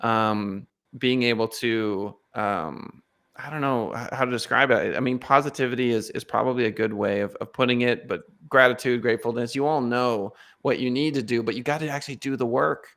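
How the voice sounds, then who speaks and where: A man's voice: medium pitch, normal speed, creaky, a bit bright. A male podcast host chatting with a guest in an enthusiastic, encouraging way, with many fillers. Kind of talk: casual interview chat.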